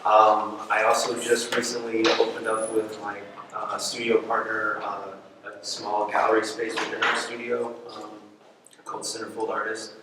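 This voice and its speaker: A man answers as a panelist in a plain, hesitant way, full of ums and uhs. His voice is low and flat. He sounds distant in a very echoey room, with clear noise underneath. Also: moderate pace. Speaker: artist and frame shop owner, panelist. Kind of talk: casual panel talk. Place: large event hall.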